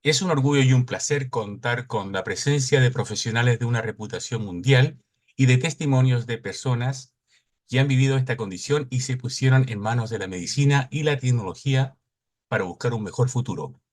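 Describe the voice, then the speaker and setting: A middle-aged man from Chile, giving a formal welcome speech and reading out a conference agenda in a measured, announcing way. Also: medium pitch. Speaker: conference cofounder and opening speaker.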